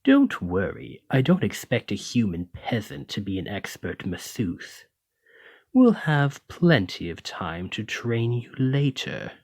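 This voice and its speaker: A man's voice: mid-range voice, wildly swooping pitch, takes it slowly, a little mellow, husky, no room sound, clean studio sound, heavily acted. A man playing a haughty, teasing male lamia noble in an ASMR roleplay, with a pompous, refined, drawling and condescending manner. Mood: teasing, amused. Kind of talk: ASMR roleplay, scripted monologue to listener.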